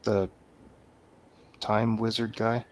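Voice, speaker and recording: A man with a fairly low voice banters about films. He keeps it casual, tossing out short, blunt remarks as one of a panel. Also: flat delivery, extremely slow, a little mellow. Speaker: film review show panelist.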